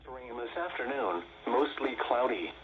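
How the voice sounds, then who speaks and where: A synthetic American man's voice reading a scripted weather bulletin in a measured way through a small radio speaker. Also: mid-range voice, lively intonation. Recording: dull lo-fi radio speaker, noisy static.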